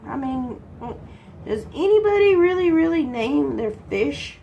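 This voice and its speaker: A woman's voice: high-pitched, lively intonation, measured pace, gravelly, warm tone, a little hiss or hum. A congested woman from Texas, chatting casually while she works on a craft and answering her own questions. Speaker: crafting vlogger.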